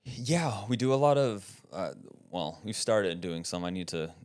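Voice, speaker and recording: A man with a low-pitched voice speaks in a casual interview. His delivery is emphatic and animated, and he often tags his points with a checking question as he explains. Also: unhurried. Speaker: podcast network guest.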